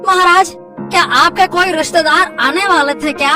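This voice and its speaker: A woman voicing an excitable, earnest young village fisherman in a Hindi cartoon, exclaiming and repeating words urgently. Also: very high voice, normal speed, recorded from a distance, faint music behind. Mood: urgent, respectful. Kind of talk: Hindi cartoon moral story acting.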